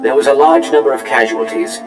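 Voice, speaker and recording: A man's voice: mid-range voice, a little fast. A man voicing an unflappable, servile protocol droid in a video game, speaking formally and courteously through a robotic filter. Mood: unruffled. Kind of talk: scripted video game dialogue. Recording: echoey, music underneath. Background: game music.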